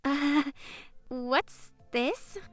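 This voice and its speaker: A woman playing a proud, kind high school girl from a poor family in a manga dub. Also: animated, drawn-out words, faint music behind, heavily acted. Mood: uneasy.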